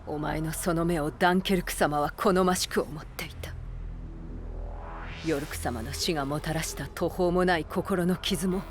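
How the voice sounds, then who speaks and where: Anime game role: stern, zealous female vice-commander, played by a woman. Commanding, formal, bitterly hurt, intense; clear music underneath. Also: low-pitched, steady tone, normal speed, natural voice. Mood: bitter, wounded.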